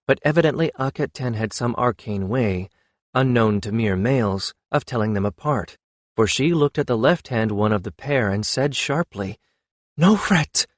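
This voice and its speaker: A man's voice: medium pitch, normal speed, no echo. A man reading a fantasy story as an audiobook narrator, in scripted narration.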